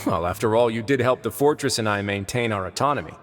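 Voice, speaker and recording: A man voicing a calm, wry male duke who runs a prison, speaking in a smooth, measured and courteous way in a video game. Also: on the low side, close to an everyday voice. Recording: game audio with music behind.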